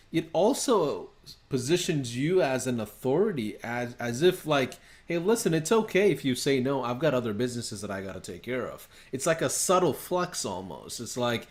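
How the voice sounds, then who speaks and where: Male co-host, mid-pitched, emphatic and chatty on a video call. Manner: emphatic, conversational, tags lines with "right?". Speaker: workshop co-host. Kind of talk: live webinar discussion.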